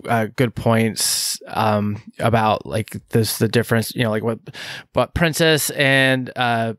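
A man co-hosting a casual podcast, talking in halting stops and starts with lots of fillers. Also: mid-range voice, fairly even intonation, fairly bright tone, dry recording. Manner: halting, many fillers and restarts. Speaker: podcast co-host.

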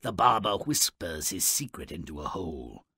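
An actor voicing a spiteful, mischievous gnome-like creature who narrates a video game tale with sardonic, theatrical relish in a gravelly, raspy voice. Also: male voice, deep voice, big pitch swings, moderate pace, bright, forceful tone, no echo, clean studio sound, full character voice. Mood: sardonic storytelling. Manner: sardonic, exaggerated, relishing malice.

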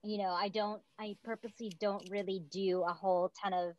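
A woman's voice: medium pitch, level tone, brisk, a little mellow. A woman in her forties, a theatre director, chatting on a video call from home with many fillers. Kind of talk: casual interview on a video call. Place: home room, indoors. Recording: dull video call audio.

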